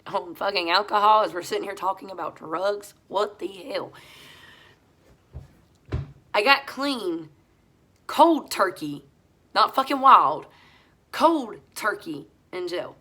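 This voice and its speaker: A woman talks through a head cold, her voice hoarse yet bright and edged with tension. She speaks bluntly and swears easily, in a casual confessional monologue to her viewers. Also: mid-range voice, animated, slow, pauses often. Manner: talks over her hoarseness, voice pushed a little louder than usual. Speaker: vlogger sharing her recovery story.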